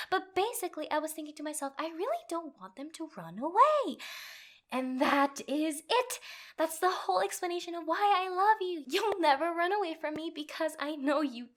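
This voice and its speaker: A woman playing a nervous, lovestruck and clingy yandere girlfriend in a comedic roleplay, halting and rambling as she talks. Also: high-pitched, a bit bright.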